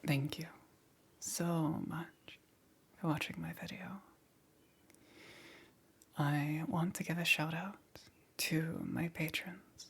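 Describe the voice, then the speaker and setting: A woman ASMR creator speaking in a breathy whisper, reading out thanks to supporters. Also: low voice.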